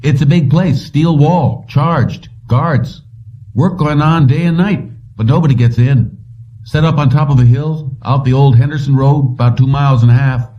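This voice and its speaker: Man acting a wary small-town cabbie, raised pitch. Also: moderate pace, natural voice. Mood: guarded. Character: wary, curt male small-town cab driver. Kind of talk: audiobook character dialogue. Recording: dull lo-fi, distant from mic.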